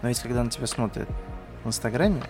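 A man's voice: mid-range voice, fast, vocal fry, loud music behind the voice. A man hosting a podcast, chatting casually and asking teasing, probing questions. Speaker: podcast host.

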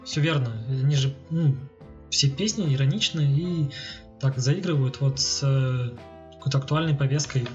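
A man's voice: medium pitch, normal speed, darkish tone. Muffled male podcast co-host with music behind. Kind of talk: casual discussion.

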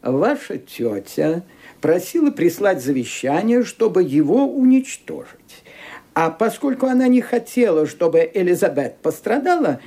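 Casting: a cautious formal lawyer in radio drama, slow, mellow, with swooping intonation. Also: male voice, sits high, very animated, full character voice. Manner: measured, hesitant, formal. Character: cautious, dry, formal male family lawyer.

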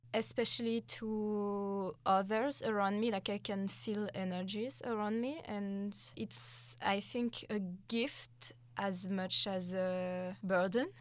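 A woman in her twenties from Brittany, France, reflective and soft-spoken as an interview guest. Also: medium pitch, steady tone, unhurried, few pauses, dry recording. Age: 20s.

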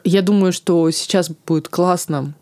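A woman's voice: fairly low pitch, steady tone, a little slow, a little mellow, no echo. Female podcast host, shaky tearful voice, hesitant and heartfelt, dry close-miked sound. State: voice trembling from tears. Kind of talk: podcast conversation and sign-off.

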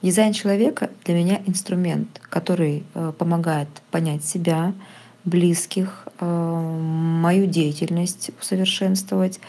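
A woman with a low, mellow voice talks casually about her work. She is hesitant and fills her pauses with "uh.". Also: fairly even intonation. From Russia. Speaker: photographer. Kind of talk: casual personal testimony.

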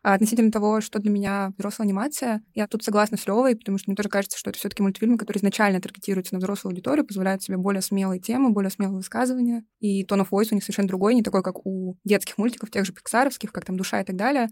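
A young woman talks at a very rapid clip in a casual podcast discussion. She explains her opinions articulately, in a dry recording with no room sound. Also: mid-range voice, steady tone, a little mellow, no echo. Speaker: podcast co-host.

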